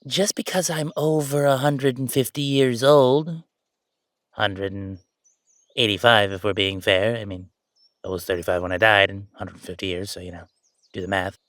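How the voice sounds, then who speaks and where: A man's voice: lowish voice, steady tone, moderate pace, a bit bright, dry recording, clean studio sound, lightly acted. A man playing a chatty, easygoing ghost who died at thirty-five, rambling casually with wry asides in an audio roleplay. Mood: wry, resigned. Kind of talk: conversational audio roleplay monologue.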